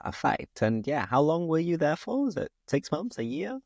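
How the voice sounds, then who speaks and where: A young man with a softened Yorkshire accent hosts a casual chat, asking questions in a relaxed, joking way. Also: fairly high pitch, moderate pace, no room sound, studio quality. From northern England. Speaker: host, English teacher. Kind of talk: casual conversation.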